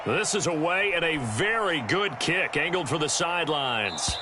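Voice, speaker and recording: A man's voice: fairly high pitch, expressive, moderate pace, background noise, close to an everyday voice. A man calling play-by-play as a polished television football announcer in a video game. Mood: steady, businesslike.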